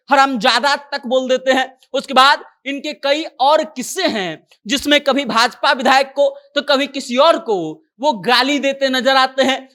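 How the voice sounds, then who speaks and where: High-pitched news commentator. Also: a bit bright. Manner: conversational retelling, acting out quoted dialogue. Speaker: news presenter. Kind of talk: informal news commentary recounting a viral story.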